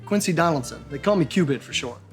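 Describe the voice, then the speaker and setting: A man playing a charming, smooth-talking old-time gentleman who uses breezy, old-fashioned patter. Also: on the high side, animated, quick pace, faint music behind. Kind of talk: comedic noir skit acting.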